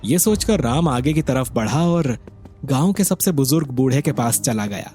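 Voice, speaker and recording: A husky-voiced man reading scripted narration for a moral story. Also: medium pitch, expressive, normal speed, darkish tone, soft background music.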